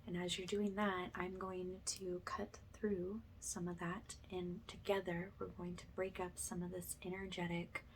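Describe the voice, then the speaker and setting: A woman speaking softly and breathily, often slipping into a whisper, as she gives slow, soothing, halting guidance in an ASMR energy healing style. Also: on the low side, level tone, slight background noise.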